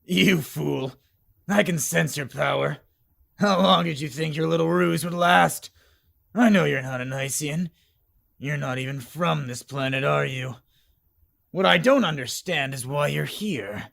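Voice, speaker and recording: A man voicing a stern, hot-headed alien war commander in an animation, with a gruff, commanding and impatient delivery. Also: a little high, a little slow, a bit bright, exaggerated voice. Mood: stern, scornful. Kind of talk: amateur animation voice acting.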